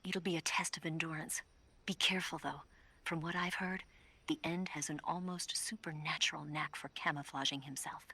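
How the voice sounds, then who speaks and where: A woman playing a calm, knowing spy informant, speaking in a breathy, husky, confiding way in video game briefing dialogue. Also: low-pitched, heavily acted. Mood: cautioning. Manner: hushed, measured, confiding.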